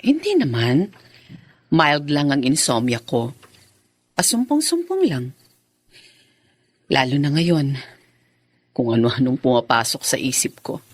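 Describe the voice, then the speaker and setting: A woman's voice: a bass voice, wildly swooping pitch, slow pace, pauses often, slightly rough, full character voice. An actress playing a wary new stepmother who worries about fitting into the family, in a radio drama. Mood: worried. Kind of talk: Filipino radio drama acting.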